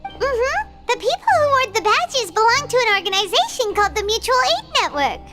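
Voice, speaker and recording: A woman's voice: very high-pitched, sing-song, brisk. A woman voicing a small, perky girl companion in a video game who asks curious questions. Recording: game audio with music behind.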